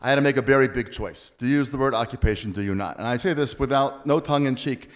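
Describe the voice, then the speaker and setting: A man's voice: medium pitch, fast talker. A man from the United States answering questions on a discussion panel, speaking earnestly with dry, self-deprecating asides. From Baltimore, USA.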